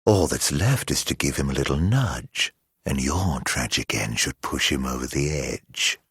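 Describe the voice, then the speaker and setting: An actor playing a mocking, theatrical immortal villain in a video game, drawling mock-polite taunts in a husky, gravelly voice. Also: male voice, a bass voice, lively intonation, a little slow, a bit bright, no echo, studio quality, exaggerated voice. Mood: sinister, gloating.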